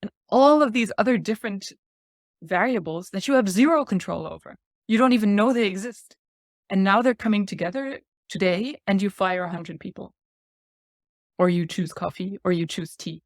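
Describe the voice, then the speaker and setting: A woman's voice: medium pitch, moderate pace. A woman in her forties, from Thailand, talks through ideas in an earnest, explanatory way. She laughs lightly between points, and her mid-range voice sounds close and dry with no room echo. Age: 40s. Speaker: guest speaker. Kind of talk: reflective podcast talk.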